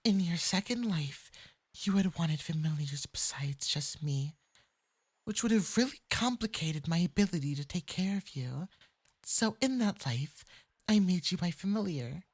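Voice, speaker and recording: A performer with a light, breathy voice playing a clingy, lovestruck fox-spirit femboy mage in an intimate ASMR roleplay, coaxing sweetly and possessively. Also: mid-range voice, a little slow. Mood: possessive, calm. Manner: sweetly coaxing, possessive, swinging into eager excitement.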